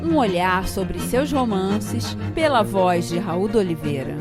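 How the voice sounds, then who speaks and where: A woman announcing the podcast's opening title in a slow, scripted way. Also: medium pitch, animated. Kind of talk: scripted show intro over music. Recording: voice over loud music. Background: loud instrumental music.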